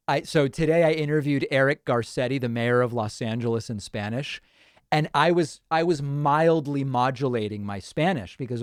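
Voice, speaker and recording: A man hosts a relaxed live stream, reading out comments and musing with quick restarts and small laughs. His voice sits at an ordinary pitch, recorded close and dry with no room sound. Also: no room sound. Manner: chatty, thinking aloud with restarts and small laughs. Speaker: host. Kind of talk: casual livestream chat reading viewer comments.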